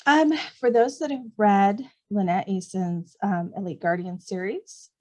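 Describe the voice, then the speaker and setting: Woman author guest just chatting casually, medium pitch voice. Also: measured pace, a little mellow. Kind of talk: casual interview chat.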